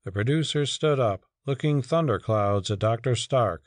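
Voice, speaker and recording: A man's voice: mid-range voice, lively intonation, moderate pace, no room sound, studio quality. A man narrating a dramatic audiobook from a script.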